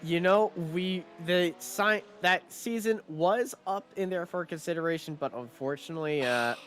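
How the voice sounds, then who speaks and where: A man with a raspy voice, a sim racing commentator chatting casually on a live stream, rambling with lots of fillers. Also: high-pitched, normal speed, a bit bright, slight background noise. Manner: rambling, many fillers and restarts.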